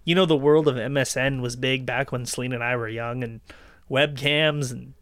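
A man's voice: medium pitch, fairly even intonation, normal speed, a bit bright, no echo, clean studio sound. A young man with a Canadian accent, co-hosting a podcast in casual chat, telling anecdotes with a teasing manner. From Ontario, Canada. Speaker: podcast host.

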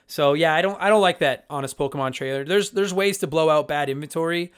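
A man's voice: a little high, fast talker, clean tone. A man in his thirties hosting a casual chat show, answering listener letters in a candid, profane, rambling way with lots of restarts.

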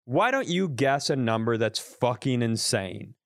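A man chatting on a podcast with a smooth, resonant voice, heard up close and dry with no room sound. He tells wry, exaggerated stories and swears freely. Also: medium pitch, expressive, unhurried, no room sound. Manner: wry, exaggerated storytelling with profanity. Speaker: podcast co-host. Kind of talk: casual comedic chat.

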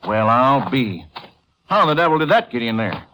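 A man's voice: mid-range voice, very animated, normal speed, fairly bright tone, slight background noise, low-quality recording. An American man playing an indignant, folksy rural Texan protesting his innocence in a 1950s radio western. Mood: defensive, insistent. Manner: folksy, defensive. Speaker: supporting actor in a radio drama. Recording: vintage 1950s radio recording.

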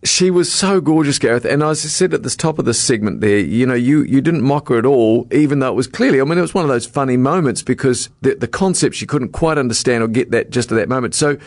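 A New Zealand man hosts radio with a mid-pitched voice. He chats casually and effusively, recorded very dry and close. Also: animated, fast talker, few pauses, dry recording. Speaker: radio host. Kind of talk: casual radio talk-show chat.

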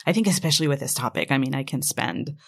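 A woman whose first language is Hebrew speaks in a low, gravelly voice. She talks in a thoughtful, hesitant way, full of fillers and small laughs. She is jet lagged. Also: animated, fast. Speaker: author guest. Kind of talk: casual interview conversation.

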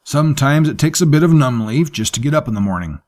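A man's voice: medium pitch, animated, quick pace, darkish tone, voice pushed up, natural voice. A man doing a brash, battle-scarred mercenary. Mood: boastful. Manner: brash, boastful. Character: boastful, battle-scarred male mercenary, gruff.

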